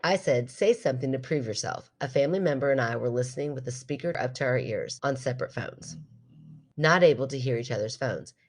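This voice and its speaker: A woman's voice: very deep voice, animated, fairly quick. A woman hosting a podcast in casual chat, talking in a bantering, playfully argumentative way with her co-host.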